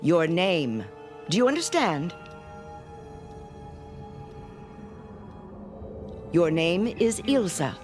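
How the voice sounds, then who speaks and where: Stern schoolteacher, swooping low voice. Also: female voice, very animated, a little slow, darkish tone. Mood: stern, commanding. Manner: commanding, drilling, insistent. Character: stern, domineering female schoolteacher, coldly obsessive. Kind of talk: vintage-style dramatic radio acting. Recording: music under the voice.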